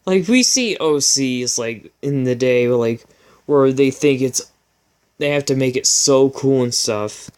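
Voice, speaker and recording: A man's voice: mid-range voice, takes it slowly, a little mellow, smooth. A man hosting a casual comedic fan fiction reading, informal and amused, stumbling over his words as he goes. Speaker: channel host.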